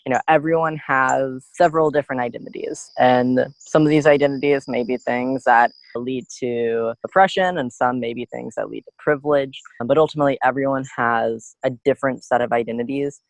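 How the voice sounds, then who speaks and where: A man's voice: mid-range voice, fairly quick, gravelly, few pauses, dry recording, poor audio. A young adult man, a student guest in a podcast interview, speaking in an earnest, reflective way with frequent "you know" and "like".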